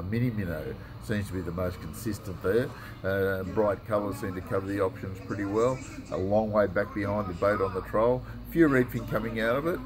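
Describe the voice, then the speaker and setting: Low-voiced Australian man in his sixties. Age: 60s. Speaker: tackle shop presenter. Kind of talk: informal fishing report to camera. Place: indoors in a tackle shop.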